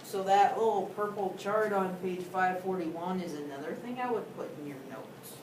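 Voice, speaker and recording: A woman math teacher giving a slow, deliberate classroom lecture to students. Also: fairly low pitch. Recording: echoey classroom, distant, noisy.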